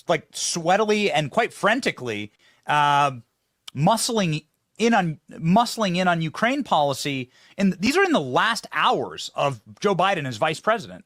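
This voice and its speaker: A man with a lively, swinging delivery hosts a casual political talk. His voice sits a little high and sounds dry and close, with an informal, questioning way of speaking. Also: normal speed, no echo. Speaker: host interviewing a guest.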